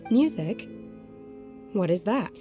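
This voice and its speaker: A woman voicing a serene, naive young disciple from the mountains in an anime video game, speaking in a measured, formal, earnest way. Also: mid-range voice, expressive, music in the background. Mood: puzzled, reserved.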